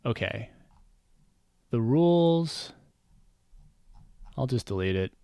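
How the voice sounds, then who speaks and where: A man's voice: medium pitch, animated, slow pace, gravelly, mellow, clear, resonant tone, dry recording. A man chatting casually on a game stream, rambling and wry, often trailing off with um and uh.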